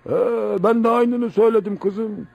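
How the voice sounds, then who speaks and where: An actor playing a kindly, fatherly elderly village uncle in a radio play, husky and concerned. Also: high-pitched, fairly even intonation, normal speed, a little mellow. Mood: troubled, fatherly. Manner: fatherly, reluctant, sympathetic. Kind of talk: radio theater acting.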